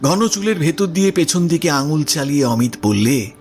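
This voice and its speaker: A male narrator reads a Bengali literary audio story. His voice sits a little high for a man, in scripted storytelling delivery, with music playing behind it. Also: expressive, normal speed.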